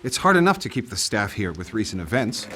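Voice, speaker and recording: An actor playing a wealthy, weary politician in a video game cutscene, with a genteel, measured manner. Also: male voice, lowish voice, sing-song, pushed and bright. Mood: somber, regretful. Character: wealthy politician, head of household, troubled and weary.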